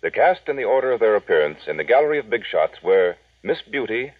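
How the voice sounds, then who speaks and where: A man's voice: fairly low pitch, very animated, fast, dry recording, recorded from a distance, phone-quality sound. A man announcing scripted credits in a 1940s radio style, on a vintage broadcast recording.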